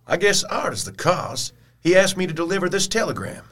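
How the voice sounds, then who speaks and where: An actor playing a plain-spoken, gallant adventurer in a gothic audio drama, casual and forthright. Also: male voice, high voice, lively intonation, fairly quick, a bit bright. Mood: steady, helpful.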